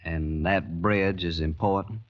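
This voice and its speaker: A man acting a reserved, earnest gentleman planter in an old-time radio drama, with measured, genteel speech. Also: low voice, fairly even intonation. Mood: probing, guarded. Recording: dull vintage radio recording.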